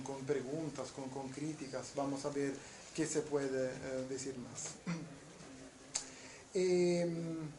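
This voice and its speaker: A man from Naples with an Italian accent giving a university lecture, speaking haltingly with many restarts. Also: mid-range voice, roomy sound, noisy background. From southern Italy, Naples. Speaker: guest lecturer.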